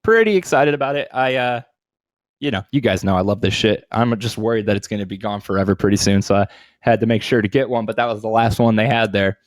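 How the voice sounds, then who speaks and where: A man's voice: lowish voice, fast talker, no echo. A man co-hosting a podcast, bantering casually in slangy, sweary talk. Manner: profane, slangy. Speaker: podcast co-host. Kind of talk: casual chat with comedic banter.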